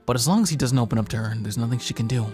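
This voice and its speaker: A man's voice: mid-range voice, rapid-fire, a little mellow, faint music behind. A man narrating a visual novel aloud and chatting casually in a let's-play.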